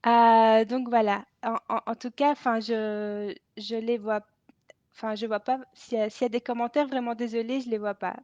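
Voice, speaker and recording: A woman's voice: on the high side, flat delivery, normal speed, darkish tone, no room sound. A woman hosting a casual live stream, talking hesitantly with lots of fillers and restarts. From Belgium. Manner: hesitant, many fillers and restarts, frequent laughter. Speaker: laughter yoga host. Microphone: added microphone. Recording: dull lo-fi live stream audio.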